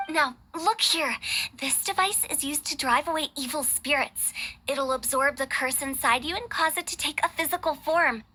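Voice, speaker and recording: Breezy, teasing young female parlor director; crisp, bright game read. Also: high-pitched, a little hiss or hum. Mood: scheming. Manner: breezy and mischievous, with sighs and laughs. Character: playful young woman, funeral parlor director. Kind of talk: video game voice acting.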